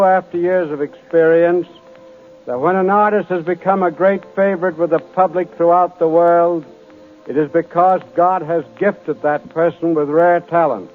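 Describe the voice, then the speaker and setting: A high-voiced man delivers a formal, oratorical speech as a studio presenter on 1930s radio. The recording is thin and tinny, like a telephone. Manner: oratorical, solemn. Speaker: studio executive introducing performers.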